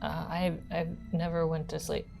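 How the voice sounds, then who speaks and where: A woman's voice: low voice, flat delivery, moderate pace, creaky, darkish tone, faint music behind. A woman playing a solemn, hesitant young girl survivor in a tabletop horror roleplaying game. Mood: hesitant, matter-of-fact.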